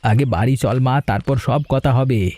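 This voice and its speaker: A man voicing a kind, steady middle-aged husband and father in a cartoon story, talking in a calm, reassuring way. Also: mid-range voice, unhurried, natural voice. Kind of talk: cartoon voice acting.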